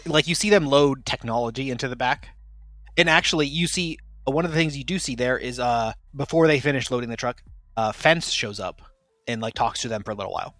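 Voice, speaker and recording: A man's voice: medium pitch, fairly quick, a bit bright, no room sound, soft background music. A man narrating as game master in a casual tabletop game podcast, explaining at length with lots of fillers and restarts.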